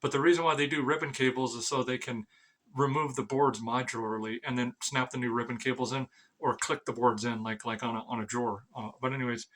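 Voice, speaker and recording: A man's voice: medium pitch, steady tone, fairly quick, a bit bright. A man giving a rambling, informal explainer as an amateur vlogger, blunt and sweary, often stammering over repeated words.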